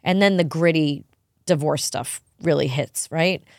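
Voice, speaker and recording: A woman's voice: low voice, measured pace, dry recording, studio quality. A woman co-hosting a podcast, chatting casually and animatedly, often breaking into laughs. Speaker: podcast co-host. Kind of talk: casual chat.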